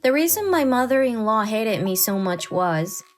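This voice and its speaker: A woman's voice: a little high, big pitch swings, moderate pace. A woman reading scripted first-person story narration as a put-upon wife, with music underneath. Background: background music.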